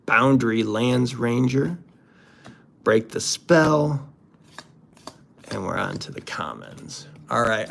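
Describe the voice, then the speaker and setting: Unhurried man chatting casually, slightly gravelly. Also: mid-range voice, a little hiss or hum. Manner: playful, jokey, exclamatory. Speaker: host opening card packs. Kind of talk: casual unboxing commentary.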